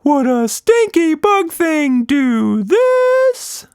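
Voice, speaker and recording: Squeaky bellowing showman monster. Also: very animated, drawn-out words, no echo, studio quality, exaggerated voice. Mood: shouting, excited. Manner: theatrical, bellowing, sing-song. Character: boisterous magical blob monster, bellowing showman.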